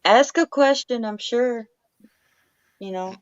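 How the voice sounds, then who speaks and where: A woman's voice: a little high, takes it slowly, clear, resonant tone, no echo, dull audio. A woman chatting casually in short interjections.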